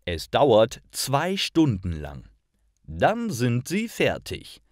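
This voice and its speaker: A man reading a scripted story for language learners, with clear and careful, expressive enunciation. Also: medium pitch, very slow, dry recording, studio quality. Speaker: narrator of stories for language learners.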